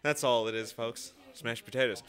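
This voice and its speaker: A man's voice: medium pitch, steady tone, a little fast, fairly bright tone, smooth. A young man hosting a show in a casual, chatty, folksy way, ad-libbing into a stand mic. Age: young adult. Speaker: host. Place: small indoor studio. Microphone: stand microphone.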